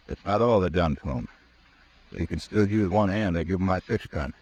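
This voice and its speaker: A grim, hard-riding cowboy speaks in short, low lines in a 1950s radio drama. He sounds weary and serious. The old broadcast has a dull, muffled quality. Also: brisk, darkish tone, husky. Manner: terse, grim and low-voiced.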